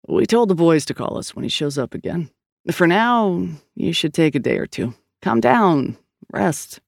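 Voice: medium pitch, sing-song, a little slow, vocal fry, no room sound. An audiobook narrator playing a weary, skeptical male police partner, blunt and dryly sardonic. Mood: reluctant, placating. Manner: dry, sardonic, reluctant.

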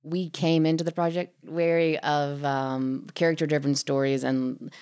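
Very deep-voiced woman, analytical guest. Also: level tone, normal speed, a little mellow, clean tone. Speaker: filmmaker guest. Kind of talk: analytical conversational interview answers.

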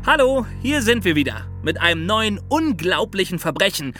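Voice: medium pitch, very animated, normal speed, a bit bright, faint music behind. A podcast co-host delivering scripted, dramatic storytelling narration.

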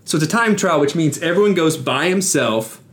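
Guy on a chatty podcast, kinda high voice, gets excited about sports. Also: fairly quick. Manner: enthusiastic, explains cycling. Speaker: podcast co-host. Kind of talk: casual chat.

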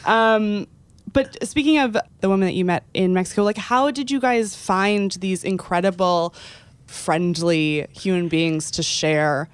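Low-voiced enthusiastic woman interviewer. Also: measured pace, clear, resonant tone, dry recording. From Texas, US. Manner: casual, many "like" fillers, enthusiastic. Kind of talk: casual interview questions.

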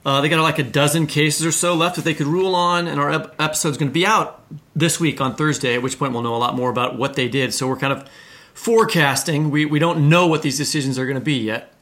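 A man's voice: fairly high pitch, fairly even intonation, fast talker, fairly bright tone. A man, co-hosting a podcast in a casual, chatty style. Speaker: podcast co-host.

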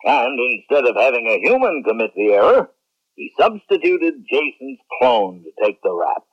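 An actor playing an authoritative, reassuring military general with a measured, commanding delivery in a radio drama. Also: male voice, medium pitch, very animated. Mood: matter-of-fact. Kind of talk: 1970s radio drama acting. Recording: dull, band-limited vintage radio recording.

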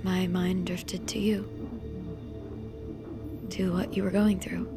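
Lost obsessive woman, fry-laden voice, halting confessional monologue, haunted, audio drama, music behind. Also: lowish voice, level tone. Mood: anguished, brooding.

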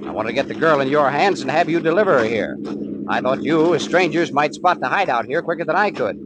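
An actor playing a decisive major, clipped, matter-of-fact and commanding, in 1940s radio style. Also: male voice, on the high side, animated, fast, natural voice. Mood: explaining. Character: decisive, authoritative male Army major undercover. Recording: noisy old 1940s radio recording.

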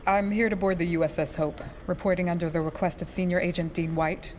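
Confident female federal agent played by an actress, slightly low voice, crisp and defiant, noisy scene ambience. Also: steady tone, a little fast, a little mellow, close to an everyday voice. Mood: businesslike. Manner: crisp, formal, dryly sarcastic. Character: composed, sharp-tongued female FBI special agent, confident, defiant. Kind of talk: cinematic audio drama acting.

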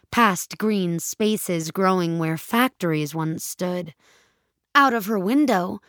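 A woman's voice: medium pitch, a bit bright, no room sound, studio quality. An American woman narrating scripted audio fiction.

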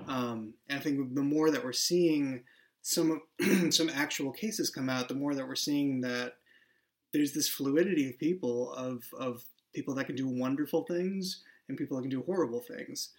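A man speaking as a writer and podcast creator in a reflective, conversational discussion, earnest and a little halting as he works through his thoughts. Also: medium pitch.

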